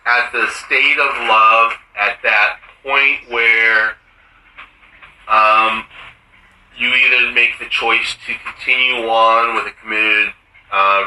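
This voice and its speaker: Slow male story reader in a roomy space. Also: on the low side, bright, forceful tone, a little hiss or hum. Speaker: writer reading his own story. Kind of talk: literary short story reading. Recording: roomy, distant from the mic.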